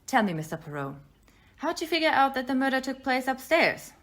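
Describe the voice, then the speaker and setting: A woman in an amateur audio play acting a sharp-tongued, defensive female hotel guest with a sarcastic manner. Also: high voice, big pitch swings, brisk. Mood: wary, questioning.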